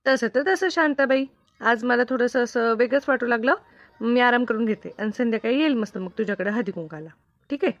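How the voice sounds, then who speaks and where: Woman playing a high-voiced gentle village friend, muffled. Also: fairly quick, no echo, lightly acted. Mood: soothing. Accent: rural Maharashtrian Marathi. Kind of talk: cartoon comedy dialogue. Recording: dull lo-fi, dry close sound.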